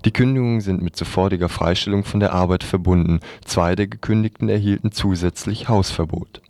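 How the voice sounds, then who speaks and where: A man's voice: deep voice, fairly quick, a little mellow, dry recording. A man reading scripted news reports on the radio.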